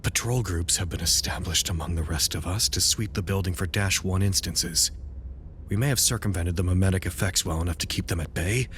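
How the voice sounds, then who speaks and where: Deep-voiced male researcher, audio drama, dry wit, formal wordy phrasing, dutiful, slight background noise. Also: brisk, a bit bright. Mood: wry, weary. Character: dutiful, wry male researcher at an underground anomaly site. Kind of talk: audio drama, recorded log monologue.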